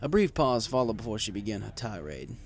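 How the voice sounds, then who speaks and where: A man's voice: fairly low pitch, sing-song, a little fast. A man reading a first-person story aloud in a wry, conversational way. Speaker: story reader. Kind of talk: first-person scripted fan fiction narration.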